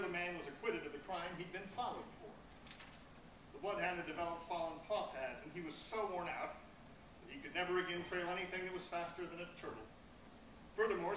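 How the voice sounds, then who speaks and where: A man giving a dramatic reading of a humorous fable at a public event. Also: sits high. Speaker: story reader. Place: public reading before an audience. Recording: echoey room, distant, clear background noise.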